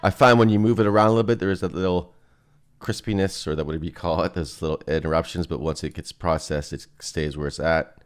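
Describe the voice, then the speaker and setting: A man giving a casual software walkthrough as presenter, thinking aloud in an informal way. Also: sits low, steady tone, fast, clean tone. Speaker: presenter demonstrating music software.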